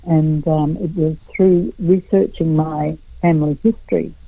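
A middle-aged woman from Australia answers radio interview questions over a tinny phone line, her speech slow and unhurried. Her voice carries a gravelly, creaky texture, and the sound is dry and close. She talks haltingly, with many pauses and fillers. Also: deep voice, steady tone, no echo. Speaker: guest artist.

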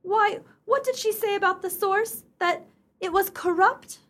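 A woman's voice: very high-pitched, measured pace, fairly bright tone. A woman playing a haughty, self-righteous society clubwoman in a radio play, with a pompous, formal, disapproving way of talking. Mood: scandalized.

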